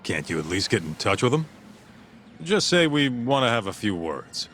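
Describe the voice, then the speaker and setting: A man's voice: medium pitch, sing-song, moderate pace, fairly bright tone, soft background music. An actor playing a brash, streetwise tough guy in a video game, blunt and colloquial. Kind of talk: video game cutscene acting.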